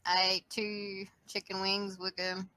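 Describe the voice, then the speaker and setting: A woman's voice: medium pitch, flat delivery, a little slow, pushed and bright, no echo. A woman joking around in casual chat.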